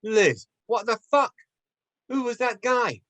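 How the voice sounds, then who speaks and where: A man's voice: very high voice, expressive, takes it slowly, fairly bright tone, no room sound, pitched above the performer's own voice, heavily acted. Man acting a menacing gangster pimp, close and dry. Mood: furious. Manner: aggressive, intimidating, interrogating. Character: angry, menacing male gangster pimp, foul-mouthed and bullying.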